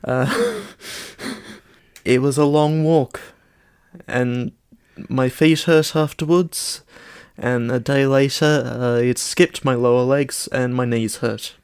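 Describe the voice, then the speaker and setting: Guy on a podcast, talks slow n hesitant, kinda understated, dry close sound. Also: medium pitch, lively intonation, darkish tone, clear, resonant tone, no echo. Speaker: podcast co-host. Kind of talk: casual chat.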